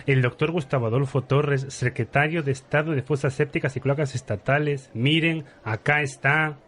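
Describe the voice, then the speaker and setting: A man's voice: medium pitch, normal speed, a bit bright, lightly acted. Man playing a chatty, long-winded viscount. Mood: rambling. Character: chatty, rambling male viscount telling tall tales. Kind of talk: parody radio soap opera acting.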